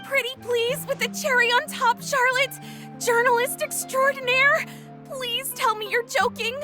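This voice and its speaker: A woman's voice: very high-pitched, fairly even intonation, unhurried. A woman playing an eager, dramatic young female researcher in a video game, with a gushing, theatrical salesy manner. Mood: desperate pleading. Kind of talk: video game character dialogue. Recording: background music under the voice. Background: game background music.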